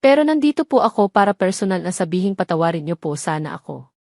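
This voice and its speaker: A remorseful young gentleman of an audio drama, read by a woman. He speaks politely and humbly, with deep respect, in a dry recording. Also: sing-song, fast talker, gravelly, no echo, exaggerated voice. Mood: remorseful, humble. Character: remorseful, respectful rich young man apologizing.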